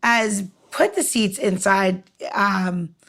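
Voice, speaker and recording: Female podcast host, mid-pitched with creak, conversational, thinks aloud in rambling questions. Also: slow pace, fairly bright tone. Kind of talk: casual chat interview.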